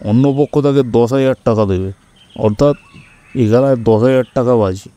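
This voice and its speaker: Radio drama villain: cocky middle-aged male scrap dealer, taunting, dark soft tone man acting. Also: mid-range voice, normal speed, close to an everyday voice. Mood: challenging. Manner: challenging, cocky. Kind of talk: radio audio-story acting.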